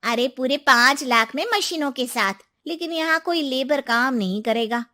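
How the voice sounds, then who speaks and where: A woman's voice: high-pitched, moderate pace, bright, forceful tone. A woman playing a gossipy, concerned neighbour in a village tale. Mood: gossiping.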